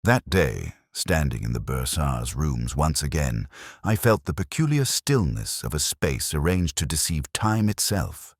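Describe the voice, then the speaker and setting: A man playing a melancholy, literary police detective, recounting a case in a measured, solemn, reflective way as scripted audio mystery narration. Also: very deep voice, big pitch swings, dry recording, studio quality, exaggerated voice. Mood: brooding, reflective.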